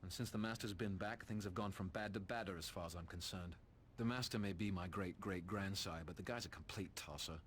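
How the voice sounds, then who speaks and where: An actor playing a cynical, cocky English vampire in a video game cutscene, sardonic and full of slang. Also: male voice, deep voice, brisk, a bit bright, a little hiss or hum. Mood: scheming, wry. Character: cynical, cocky British male vampire, roguish reluctant ally.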